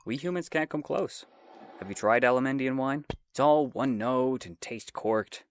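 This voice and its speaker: A man's voice: medium pitch, normal speed, slight background noise, close to an everyday voice. A man playing a wry, world-weary fantasy swordsman for hire in an audio drama. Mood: weary, disdainful. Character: gruff, wry human swordsman, hired fantasy bodyguard.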